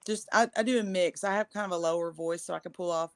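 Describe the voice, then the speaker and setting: Chatty lower-voiced woman singer. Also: fast. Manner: chatty, laughs often. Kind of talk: casual video-call chat. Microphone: video call.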